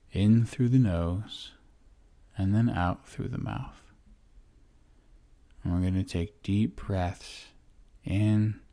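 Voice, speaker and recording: A man leading a guided meditation in a gentle, soothing manner, with long pauses between short phrases. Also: deep voice. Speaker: guide of a relaxation exercise. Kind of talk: guided meditation, body scan.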